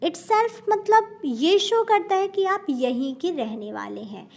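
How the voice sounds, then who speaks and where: Indian woman teacher, fairly high voice, very slow and deliberate, mixes English words into Hindi. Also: lively intonation, gravelly, darkish tone. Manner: slow, careful, addresses viewers as friends. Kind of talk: spoken English lesson in Hindi.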